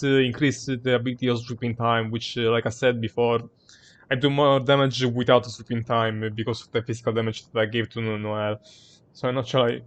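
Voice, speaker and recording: Halting male game streamer, non-native English. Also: mid-range voice, fairly bright tone, clear, resonant tone. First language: not English. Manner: halting, rambling, searching for words. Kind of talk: casual live game commentary.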